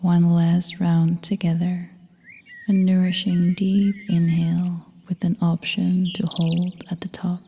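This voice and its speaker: A woman guiding a meditation as a yoga nidra instructor, speaking in a soft, breathy half-whisper with a calm, gentle, soothing manner. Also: fairly low pitch, slow. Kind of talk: guided meditation narration. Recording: long reverb, faint noise with birdsong.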